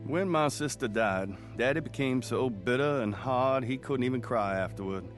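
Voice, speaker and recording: A man's voice: medium pitch, normal speed, faint music behind, lightly acted. An actor playing a plainspoken country man recalling his boyhood, narrating a radio drama with folksy speech. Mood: reminiscing. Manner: folksy storytelling.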